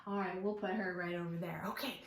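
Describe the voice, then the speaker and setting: A woman's voice: fairly low pitch, steady tone, fast. A woman leading a children's storytime, talking in an exaggerated singsong way as if to small children. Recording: echoey room.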